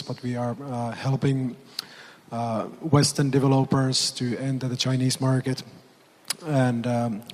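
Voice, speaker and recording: A man who has nearly lost his voice, hoarse, speaking as a panelist at a conference with many fillers and pauses. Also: mid-range voice, darkish tone. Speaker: panelist, game publisher CEO. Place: conference hall. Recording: long reverb of a conference hall.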